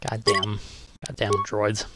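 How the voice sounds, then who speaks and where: A man's voice: on the low side, completely flat delivery, slow, very noisy. A man doing casual let's-play commentary as a gaming video host, muttering to himself and reading dialogue aloud in a laid-back way. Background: game music.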